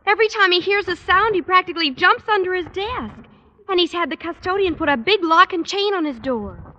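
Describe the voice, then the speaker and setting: A polite, chirpy schoolgirl speaks in a very high, bright voice. It is old radio sitcom acting, with slightly dull recorded sound. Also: expressive, brisk, full character voice. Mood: chirpy concern. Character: chirpy, polite teenage schoolgirl, principal's daughter.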